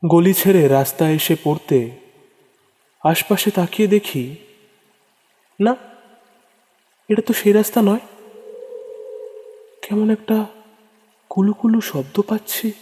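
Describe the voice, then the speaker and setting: A man's voice: high voice, expressive, measured pace, long pauses, warm tone. Reverb-drenched man narrating horror. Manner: suspenseful, theatrical, repeats phrases for effect. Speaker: narrator of horror audio stories. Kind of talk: dramatic first-person horror story narration. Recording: long reverb over prominent music. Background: music.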